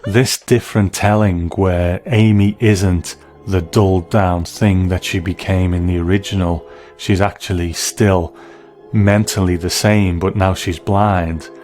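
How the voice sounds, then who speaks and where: A man delivering scripted review commentary in a measured, analytical way. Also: low-pitched, steady tone, a little mellow, soft background music.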